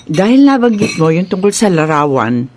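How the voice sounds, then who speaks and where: A woman's voice: lowish voice, sing-song, normal speed, a little hiss or hum. A protective, firm middle-aged mother. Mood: explaining. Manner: warm but firm, protective. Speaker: mother character. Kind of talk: radio drama acting.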